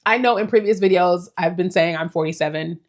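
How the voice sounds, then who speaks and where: A woman's voice: on the low side, a little fast. A woman in her forties giving a casual, rambling life update to her viewers, reflective and talking to them directly. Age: 40s. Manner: reflective, rambling, with small laughs. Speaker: vlogger.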